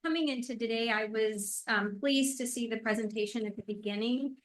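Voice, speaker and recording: A woman giving an earnest, measured public comment at a podium microphone in a council meeting hall, with some hesitant restarts. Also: on the high side.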